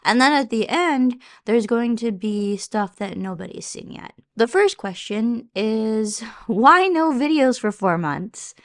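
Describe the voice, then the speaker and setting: A woman's voice: on the high side, lively intonation, slow, few pauses. A young adult woman with a slightly stuffed-up voice, talking casually and ramblingly in the manner of a vlogger giving an update. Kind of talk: casual chat to camera, question-and-answer update.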